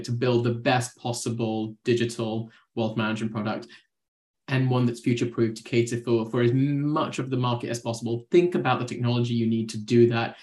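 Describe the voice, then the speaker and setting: A man, a guest on a podcast, giving a persuasive pitch for his company's product. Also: a little mellow. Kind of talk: podcast interview answer.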